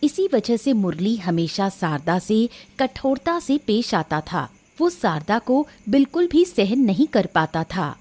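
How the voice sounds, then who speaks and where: A woman's voice: mid-range voice, big pitch swings, measured pace, few pauses, a little mellow. A woman narrating a scripted moral story. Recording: music behind the voice.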